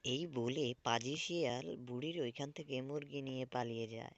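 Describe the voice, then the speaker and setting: A man's voice: medium pitch, moderate pace, dry recording, dull audio. A man reading scripted folktale narration for a cartoon.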